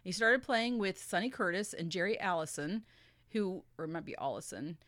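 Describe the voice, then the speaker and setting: Podcast co-host, woman, young adult, low-ish voice, deadpan storyteller, dead-dry close mic. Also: moderate pace, no room sound. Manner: dry, matter-of-fact storyteller. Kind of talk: casual chat, reading history notes.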